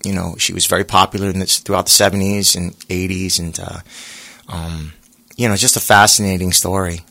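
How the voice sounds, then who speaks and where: A singer being interviewed, talking in a relaxed, rambling way with lots of pauses and fillers. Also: male voice, low-pitched, gravelly. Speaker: singer guest. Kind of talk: casual interview chat.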